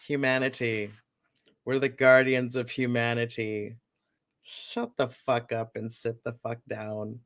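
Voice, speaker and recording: A woman's voice: rumbling low, little pitch movement, slow pace. A woman co-hosting a casual podcast chat, blunt and profane with sarcastic asides. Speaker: co-host.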